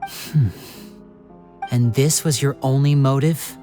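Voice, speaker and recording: A clever young gentleman magician, voiced by a man in a video game. His voice is light and youthful, with a composed, measured and politely probing delivery. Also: mid-range voice, steady tone, soft background music, lightly acted. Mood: cool, probing. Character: clever, composed young male stage magician playing detective.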